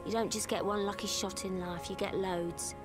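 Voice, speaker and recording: A woman's voice: mid-range voice, fairly even intonation, moderate pace, close to an everyday voice. An actress playing a resentful, wistful middle-aged mother in an audio drama, speaking plainly and emotionally, with music behind her. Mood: wistful, hopeful. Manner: plain-spoken, emotional monologue. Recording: music under the voice.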